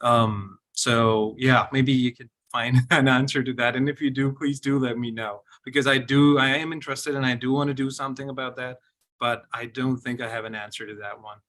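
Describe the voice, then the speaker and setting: A man from Pakistan on an academic panel, thinking aloud as he answers. His voice is bright and forceful, though full of repeated words and restarts. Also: mid-range voice, fairly even intonation, moderate pace.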